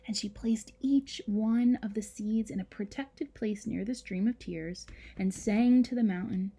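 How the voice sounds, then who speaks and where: Woman, medium pitch, expressive children's read-aloud, dramatic emphasis, hushed breathy moments, chatty teacherly asides. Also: unhurried.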